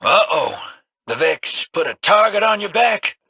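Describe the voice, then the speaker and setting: A man playing a roguish, wisecracking hustler in a video game, with a husky, folksy showman's drawl. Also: sits high, very animated, a little slow, bright, forceful tone, no echo, exaggerated voice. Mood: teasing. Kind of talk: video game character dialogue.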